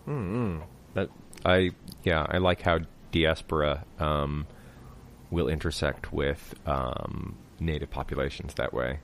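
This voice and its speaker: Deep-voiced midwest american guy hosting a podcast, lots of ums and restarts. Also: takes it slowly, a little hiss or hum. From Kansas, United States. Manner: hesitant, many ums and restarts. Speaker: podcast host. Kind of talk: casual interview chat.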